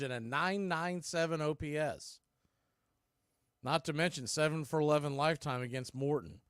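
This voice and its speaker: An American man doing live baseball play-by-play in clipped, punchy calls with pauses, mixed with casual fan chat. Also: on the high side, fairly bright tone, clean tone, dry recording, clean studio sound.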